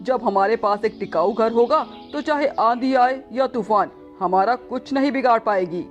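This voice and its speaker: Father bird, voiced by a woman: earnest, reassuring cartoon husband, higher-pitched, music behind. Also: moderate pace, dull audio, natural voice. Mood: resolute.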